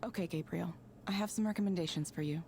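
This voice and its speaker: Casting: composed counseling proxy for a visual novel, clinical, formal, lowish pitch, faint noise behind. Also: female voice, creaky, a little mellow. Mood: clinical calm. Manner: measured, neutral, formal. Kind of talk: video game voice acting, scripted counseling.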